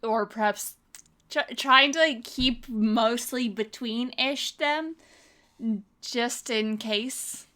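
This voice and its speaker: A woman takes part in a casual tabletop roleplay. Her voice sits high and has a bright, crisp edge. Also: slow pace. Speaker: game player.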